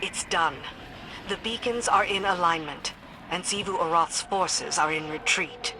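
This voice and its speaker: An actress playing a resolute battlefield commander in a video game, terse and authoritative. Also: female voice, on the low side, measured pace, background noise. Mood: resolved. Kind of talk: scripted video game dialogue. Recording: game audio with background music.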